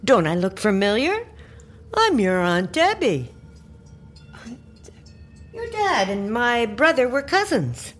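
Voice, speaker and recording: An actress playing a chatty, folksy great-aunt who is pushy and slyly over-friendly in an audio drama. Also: female voice, a little high, huge pitch swings, takes it slowly, pauses often, a bit bright, soft background music, full character voice. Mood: smug, familiar. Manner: chatty, insistent, theatrical.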